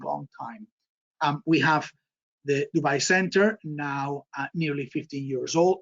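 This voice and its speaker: Spanish-accented man presenting, husky and muffled. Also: fairly high pitch, fairly bright tone. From Spain. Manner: frequent fillers and repeated words, emphatic triple repetitions. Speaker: MBA programme director. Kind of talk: online information session presentation.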